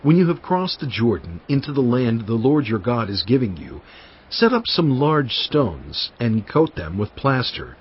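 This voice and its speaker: A man playing a solemn, commanding Hebrew prophet and lawgiver in a dramatized audio Bible, declaiming deliberately to his people. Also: medium pitch, very animated, breathy edge. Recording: dull band-limited audio over music. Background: background music.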